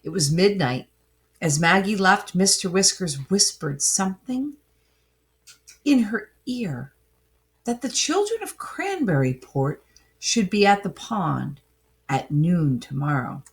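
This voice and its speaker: A woman reading a children's story aloud with dramatic, emphatic phrasing and pauses. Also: lowish voice, sing-song. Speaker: storybook reader. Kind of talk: expressive children's story narration, with asides to listeners.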